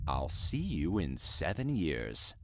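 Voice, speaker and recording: An actor playing a calm, sinister supernatural salesman in an audio drama, with a smooth, measured manner. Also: extremely low pitch, no echo, heavily acted. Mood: calmly sinister.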